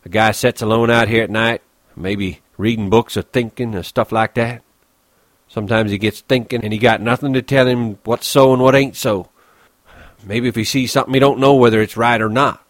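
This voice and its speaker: A man's voice: medium pitch, steady tone, normal speed, no echo, clean studio sound, voice pushed up, lightly acted. A man voicing a lonely, bitter stable hand in an audiobook, taunting and scornful, brooding in colloquial rural ranch talk.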